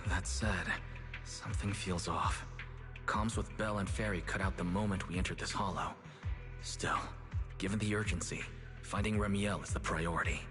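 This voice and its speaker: An actor playing a calm, reassuring young male investigator in a video game, composed and matter-of-fact. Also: deep voice, normal speed, natural voice. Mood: wary, focused. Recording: game music behind the voice.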